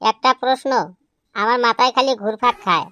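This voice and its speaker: Woman as cartoon schoolboy, very high pitch, cheeky, exaggerated grumbling and pleading, comedy dialogue. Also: a little fast, pushed and bright. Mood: curious, teasing. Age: child figure. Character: cheeky village schoolboys grumbling and questioning teacher.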